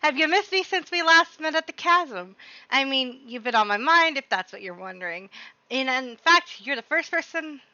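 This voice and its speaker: Woman playing a bright, boastful, boisterous gang boss. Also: sits high, lively intonation, moderate pace, no echo, dull audio, voice pushed up, full character voice. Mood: chummy bragging. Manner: bragging, exuberant. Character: boastful, buddy-buddy male gang leader, boisterous and excitable. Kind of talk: reading game dialogue aloud.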